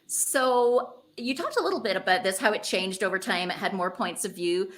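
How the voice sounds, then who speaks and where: A woman's voice: medium pitch, moderate pace, a bit bright. A woman hosting a conversational interview in an effusive, encouraging way. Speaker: interviewer, host.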